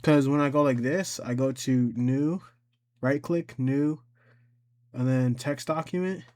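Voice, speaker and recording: A man giving a casual, unscripted software tutorial, thinking aloud as he goes, haltingly repeating and correcting himself. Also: medium pitch, fairly even intonation. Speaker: tutorial host.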